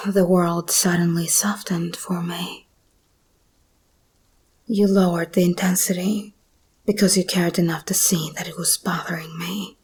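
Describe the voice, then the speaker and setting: A woman playing an escaped hybrid monster girl, possessive yet tender, in an intimate, breathy whispered ASMR roleplay. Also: on the low side, darkish tone, full character voice. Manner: slow, intimate, intense.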